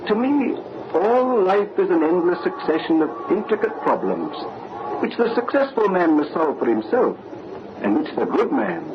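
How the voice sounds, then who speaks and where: Courtly mysterious old father type, formal n philosophical, very melodic delivery, hissy old radio play. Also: on the high side. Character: courtly, enigmatic older father fond of puzzles. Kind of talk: old-time radio drama acting. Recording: muffled, noisy old radio recording.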